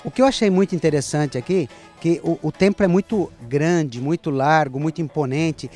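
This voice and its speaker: A man's voice: fairly high pitch, moderate pace, darkish tone, soft background music. A Brazilian man hosting a travel food show outdoors, chatting easily with a local guide in a Brazilian Portuguese accent. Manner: chatty, easygoing, asks leading questions. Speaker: cooking show host. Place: outdoors at an ancient temple.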